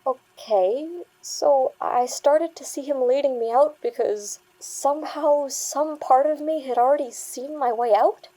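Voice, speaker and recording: Audio drama role, woman acting: distraught female, high voice, swears, defiant, sharp-tongued. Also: unhurried, few pauses, low-quality recording. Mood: agitated. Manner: emotional, swearing, bitingly sarcastic. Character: distraught, defiant woman haunted by a traumatic night.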